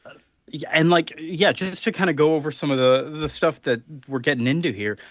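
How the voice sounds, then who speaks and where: A man's voice: fairly high pitch, brisk. A man co-hosting a comics podcast, chatting casually and hesitantly with many fillers. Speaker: podcast co-host. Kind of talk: casual chat. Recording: dry, dull lo-fi sound.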